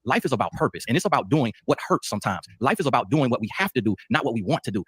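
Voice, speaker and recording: Fast, husky man preaching his stand. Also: mid-range voice, big pitch swings, fairly bright tone, no room sound. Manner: firm and moralizing, declaring his stand. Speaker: debate guest. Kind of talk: heated debate, preacher-like cadence.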